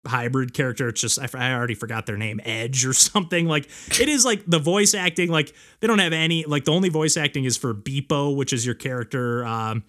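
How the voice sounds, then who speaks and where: A man's voice: medium pitch, brisk, hardly any pauses, a bit bright. A man hosting a podcast, chatting casually about video games in a rambling way peppered with filler words. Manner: rambling, frequent "like". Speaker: podcast host.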